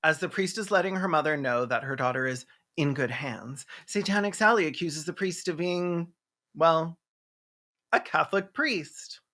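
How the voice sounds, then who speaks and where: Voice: medium pitch, normal speed, sharp tone, slight background noise. A commentator giving a comedic reaction to a movie in a sarcastic, campy, sweary way. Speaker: host.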